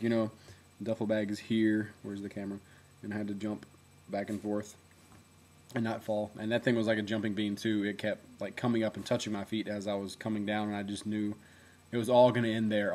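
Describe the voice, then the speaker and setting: A young man with a deep voice that sometimes swallows his words, telling a chatty story in a casual video diary with fillers and self-corrections. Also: darkish tone. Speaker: former reality show contestant.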